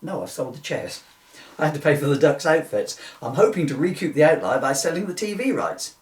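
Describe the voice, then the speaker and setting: In a roomy, distant recording, a man acts out a dim comic showman. He states absurdities as plain fact, literal and pedantic, with a lively rise and fall. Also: fairly high pitch, fairly quick, lightly acted. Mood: matter-of-fact. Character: dim, literal-minded, unbothered duck-owning rodeo showman. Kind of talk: comic two-hander read from a book. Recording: distant, echoey room recording.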